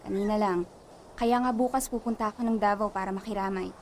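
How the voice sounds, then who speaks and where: A woman's voice: medium pitch, steady tone, a little fast, close to an everyday voice. Radio drama actress as groom's mother: middle-aged, mid-range voice, Filipino accent, motherly, composed, light outdoor-like noise behind. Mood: somber.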